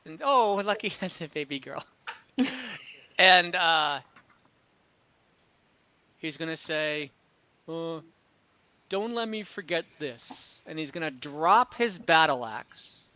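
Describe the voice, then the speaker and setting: A male game master with a high voice and a bright, forward tone. He narrates an online tabletop game at a slow pace. He improvises as he goes, often hesitating and thinking aloud. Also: animated, lo-fi sound.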